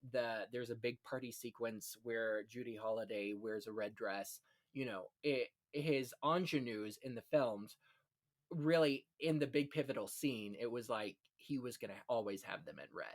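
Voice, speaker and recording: A man hosting a casual film-history discussion, talking in an effusive, opinionated way full of anecdotes. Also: medium pitch. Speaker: podcast host on classic Hollywood films.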